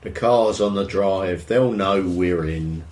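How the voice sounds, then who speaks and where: An actor playing a grumbling, nosy middle-aged husband in an audio drama, with an English accent and a dry manner. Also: male voice, sits low, slow pace, darkish tone, reverberant. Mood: curious, wary. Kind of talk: naturalistic audio drama dialogue.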